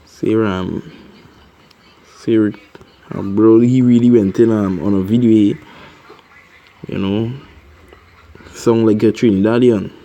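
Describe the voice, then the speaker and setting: A man hosts a religious commentary, reading scripture aloud at a slow pace. He breaks off often with fillers and keeps asking "right?" His voice is mellow and soft-edged. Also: on the low side, fairly even intonation, muffled recording.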